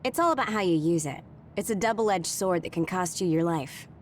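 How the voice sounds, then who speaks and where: Wry, low, animated woman soldier played by an actress. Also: brisk, slight background noise, natural voice. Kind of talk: English video game voice acting.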